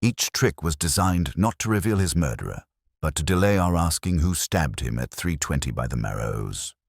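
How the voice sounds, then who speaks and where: A mild, methodical police inspector, played by a male narrator in a detective audiobook. He speaks formally and deliberately in a very low voice, with an expressive rise and fall and a dry, close sound. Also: creaky, dry recording, exaggerated voice. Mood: calm, deliberate. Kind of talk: acted dialogue in story narration.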